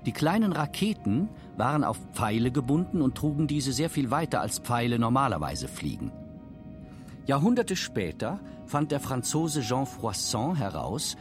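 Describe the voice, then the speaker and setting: Lively male documentary narrator over music. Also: medium pitch. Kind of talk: scripted narration.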